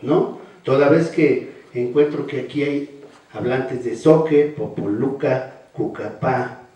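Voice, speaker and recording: An older man giving a measured formal speech as a panelist, often ending phrases with a questioning "no". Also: medium pitch, warm tone. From Mexico. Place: large hall. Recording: echoey hall, voice from far off.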